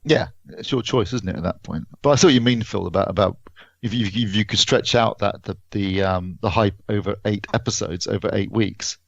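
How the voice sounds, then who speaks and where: A man's voice: lowish voice, brisk, creaky, fairly bright tone, dry recording, muffled recording. A man giving a casual review as a podcast panellist.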